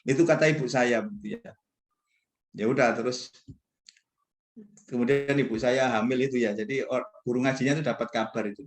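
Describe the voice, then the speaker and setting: A man's voice: medium pitch, fairly even intonation, brisk, long pauses. Male professor, mid-40s, informal mentoring lecture, self-effacing and conversational, mid-range voice, roomy echo. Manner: conversational, rambling, self-deprecating, frequent tag words. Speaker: university professor speaking as guest mentor. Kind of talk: informal religious lecture to students. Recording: echoey, roomy sound.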